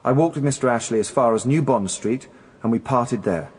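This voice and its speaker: An actor playing an uneasy gentleman witness in a radio drama, hesitant and guarded, in an echoing hall. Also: mid-range voice, a little fast, slightly rough, natural voice. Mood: hesitant, defensive. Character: uneasy gentleman witness, loyal and reluctant friend. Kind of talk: British radio courtroom drama acting. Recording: long echo of a large hall.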